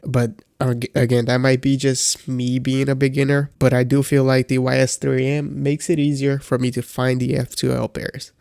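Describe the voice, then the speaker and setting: A man's voice: medium pitch, vocal fry, darkish tone, smooth, no room sound, clean studio sound. A man with a congested voice giving a casual, hesitant review as a beginner, with frequent fillers and restarts. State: congested from a cold. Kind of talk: casual product review vlog.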